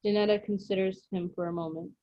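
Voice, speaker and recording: A woman's voice: mid-range voice, fairly even intonation, fast talker, dry recording. A woman reading stage directions as scripted narration for a drama table read, over slightly dull call audio.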